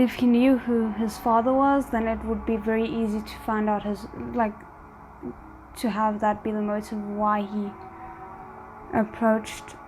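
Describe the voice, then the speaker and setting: A woman's voice: on the high side, a little mellow, poor audio. A woman doing casual reaction commentary, thinking aloud in tentative asides as she watches. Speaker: reaction video host.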